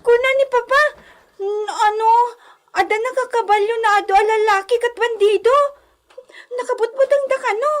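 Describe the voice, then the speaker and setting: A woman's voice: very high voice, steady tone, normal speed. A woman playing a sheltered, fearful young hacienda heiress in a radio drama. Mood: curious, chatty.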